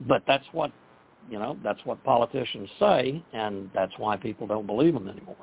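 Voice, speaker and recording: A man from Texas and Oklahoma, a guest on a talk radio show, hoarse and losing his voice, wry and skeptical. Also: medium pitch, brisk, a little hiss or hum. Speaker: guest journalist. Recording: tinny phone line.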